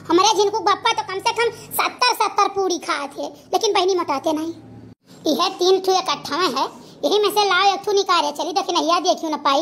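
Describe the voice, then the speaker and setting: A woman's voice: extremely high pitch, a bit bright, slight background noise. A woman voicing a stout, scheming middle-aged village woman with a nasal, wailing manner in a cartoon. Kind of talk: cartoon voice acting.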